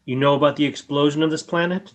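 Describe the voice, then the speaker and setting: A woman in a radio play voicing a solemn, courteous alien who speaks in a measured, formal way through a robot. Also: sits low, steady tone, natural voice. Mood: grave.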